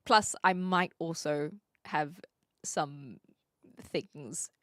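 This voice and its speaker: A woman's voice: low voice, drawn-out words, fairly bright tone, no echo, clean studio sound. A woman from New Zealand with a neutral mixed Australian-British accent, chatting casually and playfully to livestream viewers with careful articulation. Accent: neutral Australian-British mix. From New Zealand, later Australia and the UK. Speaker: livestream host.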